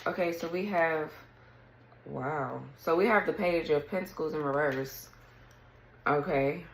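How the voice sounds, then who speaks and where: A woman with a low, raspy morning voice talks casually through a tarot spread and often clears her throat. Her voice sounds echoey, as if she is in a bare room. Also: unhurried, slight background noise. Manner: chatty, clears throat often, reads card texts aloud. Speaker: tarot reader. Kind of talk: casual tarot reading to camera.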